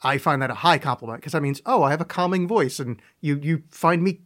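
A man's voice: fairly high pitch, a little fast, a bit bright. A man hosting a podcast in casual chat, joking and self-deprecating as he rambles. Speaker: podcast host.

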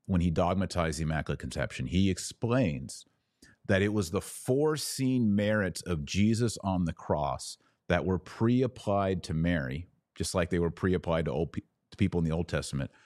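Low-voiced man teaching with emphasis. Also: darkish tone, no room sound, studio quality. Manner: emphatic, didactic, with deliberate pauses on key words. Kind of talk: live theological teaching and viewer Q&A.

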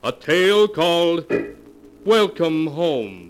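A man's voice: a little high, very animated, slow, clean tone. A man announcing a 1940s radio horror series in an ominous, dramatic manner. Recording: old radio broadcast recording.

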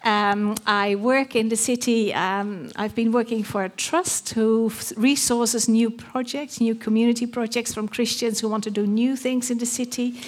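A woman's voice: a little high, fairly even intonation, normal speed, few pauses. A middle-aged woman from the Netherlands with a Dutch accent, a pastoral worker giving an informal church talk in a chatty, self-deprecating way with many ums. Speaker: pastoral worker and guest speaker.

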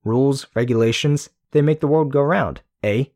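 A man reading a mischievous, sarcastic teenage girl in an audiobook, voice lifted higher. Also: moderate pace, a little mellow, natural voice. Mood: teasing. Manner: sarcastic, teasing.

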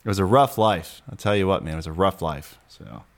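A man's voice: sits low, fairly quick. A man hosting a casual podcast, chatty and rambling with fillers and restarts. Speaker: podcast host.

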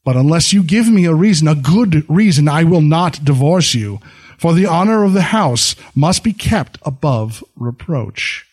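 A man reading a storybook, voicing a calm, considerate samurai husband with formal speech. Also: a little high, unhurried. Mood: earnest. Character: gentle, honorable samurai husband.

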